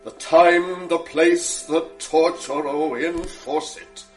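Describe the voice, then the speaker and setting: A stern nobleman hands down orders in slow, measured verse with a slightly raised voice. Faint music sits under this 1980s radio play. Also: fairly high pitch, steady tone, poor audio. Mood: outraged, commanding. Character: Lodovico, stern Venetian male nobleman. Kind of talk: 1980s radio drama acting.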